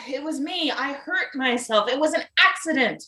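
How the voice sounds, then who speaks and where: A woman's voice: sits high, lively intonation, a little slow, pushed and bright, exaggerated voice. A woman playing a guilt-ridden, weary, anxious maintenance worker in a play reading, earnest and pleading. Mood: guilty, weary. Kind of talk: live table read of a satirical stage play.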